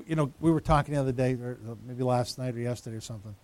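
A man's voice: medium pitch, rapid-fire, a little mellow. A pastor in his fifties giving a conversational sermon, teasing members of the congregation by name. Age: 50s. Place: church.

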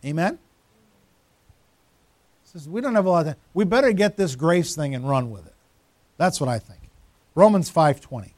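A man's voice: on the high side, animated, normal speed, pauses often, a little mellow, dry recording. A pastor in his fifties giving a conversational sermon, teasing members of the congregation by name. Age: 50s. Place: church.

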